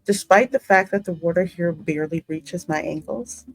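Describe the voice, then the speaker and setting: A woman's voice: fairly low pitch, poor audio. A woman hosting a gaming channel, narrating a story game and adding her own spoken asides. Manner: reads narration slowly, reacting aloud in asides. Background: music behind the voice.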